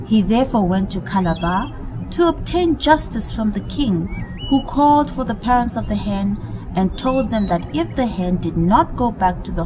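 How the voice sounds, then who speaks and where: A woman narrating a scripted folktale for children in an expressive, dramatic storytelling manner. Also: medium pitch, rough recording. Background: background music.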